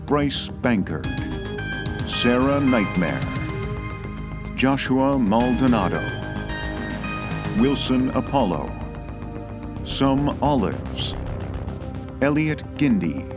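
Guy reading podcast credits, takes it slow, lots of up and down, music playing behind. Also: mid-range voice, big pitch swings. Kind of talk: scripted credits narration.

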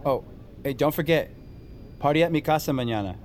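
A man's voice: on the high side, a little fast, a little mellow, slight background noise, close to an everyday voice. An actor playing a friendly, teasing male coworker who talks in easy, slangy rambles, in an audio drama.

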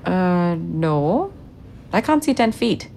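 An uneasy, pleasant colleague, a woman in an audio drama. Her pitch moves a lot, with faint rumbling noise behind. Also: sing-song, takes it slowly, creaky, darkish tone, heavily acted.

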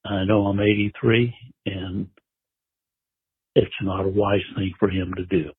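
A man in his eighties leaving a recorded phone message, speaking haltingly and stumbling as he searches for words. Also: sits low, flat delivery, slightly rough. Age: 80s. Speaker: caller. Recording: tinny phone line.

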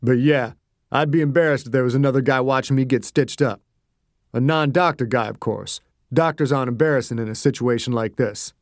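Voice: mid-range voice, brisk, dry recording, studio quality, lightly acted. A narrator voicing a protective, stubborn man who talks bluntly and steadily. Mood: wary.

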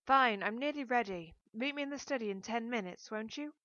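A woman's voice: fairly high pitch, quick pace, no echo, muffled recording, pitched above the performer's own voice, natural voice. A woman voicing a headstrong, sarcastic woman of twenty-four in an audiobook, firm, curt and irritated.

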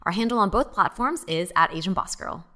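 Woman podcast co-host, bright voice, laid-back chatty read. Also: medium pitch, brisk. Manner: relaxed, self-deprecating, wraps up the show. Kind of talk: casual chat.

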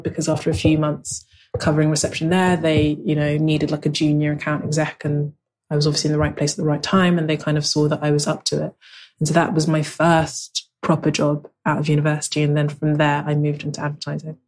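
A woman's voice: sits low, fast. A young woman, born in Nigeria, talking reflectively in an interview and leaning on fillers like "kind of". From Nigeria, raised in South London. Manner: relaxed, many fillers like "kind of". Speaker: interviewee, writer.

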